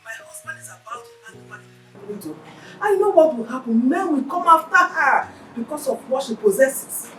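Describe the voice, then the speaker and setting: A woman's voice: high-pitched, expressive, brisk, few pauses, a bit bright. A woman with a Nigerian accent playing a persuasive middle-aged mother and confidante to a queen. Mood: animated. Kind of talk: Nollywood film acting. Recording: echoey room, distant mic, music behind.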